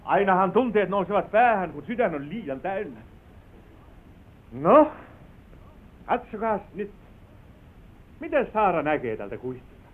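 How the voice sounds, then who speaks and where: A man's voice: high-pitched, sing-song, pauses often. An actor playing an exuberant, tender country landowner who is a new father, in old Finnish radio play style, effusive and sentimental. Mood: jubilant, excited. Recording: narrow, tinny old radio broadcast.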